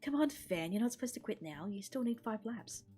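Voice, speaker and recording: A woman's voice: fairly high pitch, expressive, fairly quick, mellow, faint music behind, lightly acted. A woman voicing a bossy, impatient teenage girl runner in a visual novel, pushy and exasperated.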